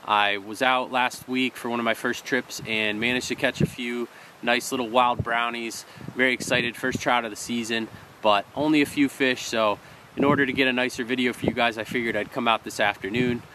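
A man's voice: medium pitch, steady tone, moderate pace. A man casually narrating his fly fishing trip outdoors beside a small snowy creek, explaining his gear step by step. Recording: audible stream water noise behind. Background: running creek water.

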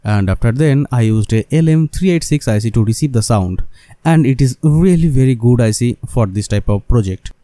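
A man with non-native English presents a hands-on tutorial. His voice is mellow and relaxed, and the recording is dry and close. He talks straight to his viewers as he explains each step. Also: mid-range voice, normal speed, no echo. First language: not English. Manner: non-native phrasing, addresses viewers as guys. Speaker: electronics hobbyist presenter. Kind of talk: scripted DIY tutorial narration.

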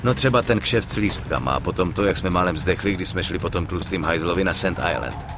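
A man's voice: sits low, background noise, close to an everyday voice. A man playing a wary, grumbling young gangster in a dubbed crime game, terse and slangy. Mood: annoyed. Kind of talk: dubbed video game acting.

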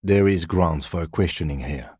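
A man playing a composed, by-the-book police detective in a video game, speaking in a measured, reserved, matter-of-fact way. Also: low-pitched, darkish tone, slightly rough, no echo, clean studio sound. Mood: composed, dry. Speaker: voice actor. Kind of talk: video game character dialogue.

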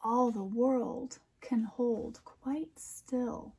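A middle-aged American woman reads a picture book aloud, adding expressive, wondering comments for young listeners. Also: a little high, drawn-out words, slight background noise. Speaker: storytime reader and teacher.

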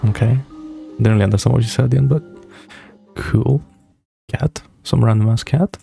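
A man's voice: medium pitch, moderate pace, gravelly, warm tone, faint music behind. A man streaming in a small room, giving offhand, laid-back reaction commentary. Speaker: streamer reacting to game trailers.

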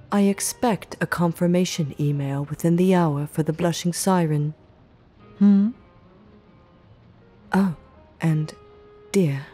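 A woman's voice: deep voice, mellow, slightly rough, heavily acted. A woman playing a playfully menacing, eccentric mob underling in an audio roleplay, speaking coyly in theatrical, old-fashioned phrases. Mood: teasing. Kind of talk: ASMR audio roleplay acting.

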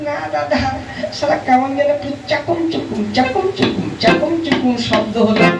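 A man's voice: very high voice, normal speed, gravelly, heavy background noise. A man voicing a whining, cheeky, stubborn young bachelor brother in a folk comedy puppet show. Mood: cheeky grumbling. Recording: roomy echo, distant microphone.

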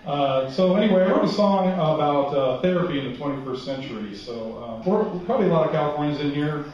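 A man's voice: on the high side, animated, fast, a little mellow, slight background noise. An older man singing comic cabaret songs at the piano and joking with the audience between songs in a wry, self-mocking way. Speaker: cabaret singer and pianist. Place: church hall stage with a seated audience. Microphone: stand mic at the piano, filmed from the back of the room. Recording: echoey hall taped from far off, dull.

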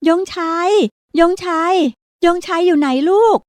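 A woman reading a story, voicing a panicked middle-aged mother shouting for her son. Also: very high-pitched, fairly even intonation, measured pace, no room sound, voice pushed up.

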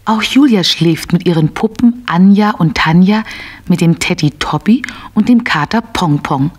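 A woman narrating a scripted children's story in short, measured phrases. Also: fairly low pitch, slightly rough.

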